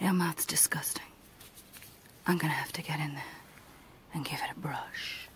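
A woman's voice: low-pitched, fairly even intonation. Whispering creepy evil clown dentist, acted by a woman. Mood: scolding, disgusted. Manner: slow, breathy whisper with drawn-out pauses. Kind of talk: ASMR roleplay, whispered personal attention.

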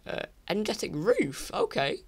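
A teenage boy from England ranking online creators in casual screen-recorded commentary, rambling and apologetic with many restarts, his voice croaky from recording late at night. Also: high-pitched, huge pitch swings, normal speed. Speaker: YouTuber. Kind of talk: casual tier list commentary.

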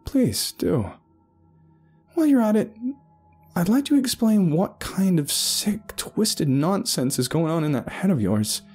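Guy doing a sharp tongued young woman in a storybook tale, scornful, pitched way up. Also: sing-song, fairly quick, hardly any pauses, slightly rough, faint music behind. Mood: scornful tirade.

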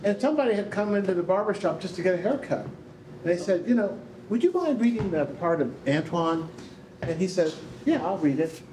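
An older man with a fairly high voice hosts a live event on stage. He talks off the cuff and with animation to guests and audience. The room echoes around him. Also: sing-song, a little fast. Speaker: event host, researcher. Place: indoor rehearsal hall, on stage. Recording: echoey room, faint background noise.

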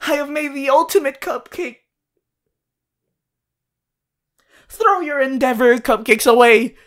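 A woman playing an eager, affectionate teenage boy hero in a fan ASMR roleplay, gushing and halting as she playfully addresses the listener. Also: high voice, lively intonation. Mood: triumphant, excited. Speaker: voice actor in a fan character audio.

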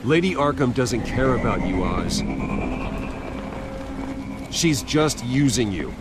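An actor playing a stern, brooding, defiant hero in a video game, terse and confrontational. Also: male voice, medium pitch, lively intonation, slow. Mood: stern defiance. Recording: loud music under the voice.

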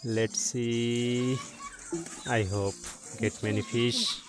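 A man giving excited, repetitive commentary by a village pond, recorded on a camera mic. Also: mid-range voice, very slow. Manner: excitable, repeats short exclamations. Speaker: fishing channel host. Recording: noisy outdoor camera audio.

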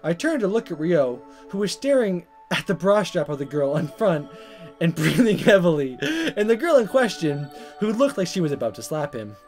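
A man reading a visual novel aloud as a let's player, in expressive narration with casual asides and stumbles that he corrects. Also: fairly high pitch, normal speed, soft background music. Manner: animated, stumbles over words and corrects himself.

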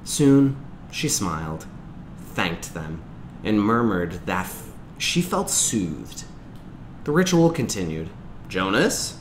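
A man reading a novel aloud as a teacher, in measured, expressive storytelling narration. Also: fairly low pitch. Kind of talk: audiobook-style read-aloud narration. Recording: clear background noise.

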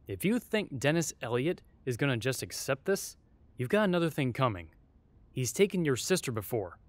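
A man's voice: medium pitch, very animated, normal speed, no room sound, natural voice. A man playing a blunt, sardonic, needling male ally in an audio roleplay drama. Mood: blunt, challenging.

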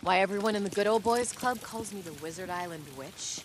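A woman's voice: medium pitch, a little fast, slight background noise, natural voice. An actress playing a tough, guarded woman survivor in a video game, with a direct manner. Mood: defensive, bitter. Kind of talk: video game cutscene acting.

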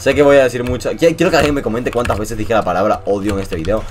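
A young man from Venezuela with a mixed Latin American and Spanish accent, commenting casually over his gameplay in an animated, swearing, joking rant. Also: mid-range voice, fast talker, a little hiss or hum. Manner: animated ranting, swears, jokey. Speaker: gaming YouTuber.

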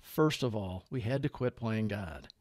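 A man narrating a book aloud as a reader, with measured, clearly phrased delivery. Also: lowish voice, expressive, darkish tone, no room sound, studio quality. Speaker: audiobook reader.

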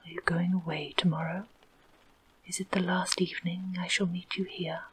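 A woman's voice: lowish voice, little pitch movement, moderate pace, natural voice, poor audio. A woman whispering as the bossy wind. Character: blustering male wind spirit, bossy.

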